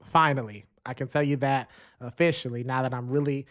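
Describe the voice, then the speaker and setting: A man's voice: medium pitch, steady tone, fairly quick, fairly bright tone. A man in his twenties hosting his own podcast, chatting casually and rambling with frequent laughs and self-deprecating asides. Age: 20s. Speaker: podcast host. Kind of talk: casual solo podcast chat. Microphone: studio mic recording into a computer.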